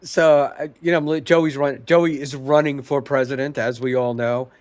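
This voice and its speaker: A man with a nasal, stuffed-up voice in casual podcast chat, delivering jokes in a dry, deadpan way. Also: mid-range voice, moderate pace, no room sound. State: stuffy nose.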